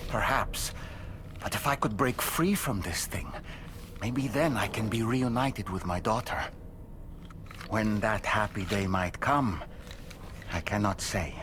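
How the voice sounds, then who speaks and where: An actor playing a sorrowful father, a medium trapped inside a doll, telling his story slowly and solemnly in a video game cutscene. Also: lowish voice, noisy background, natural voice. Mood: wistful. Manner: slow, solemn, reflective storytelling.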